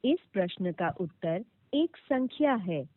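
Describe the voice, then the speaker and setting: A woman reading scripted automated phone prompts with formal, clearly enunciated instructions, heard over a narrow phone line. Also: medium pitch, animated, unhurried, dry recording. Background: played back from a recorded call.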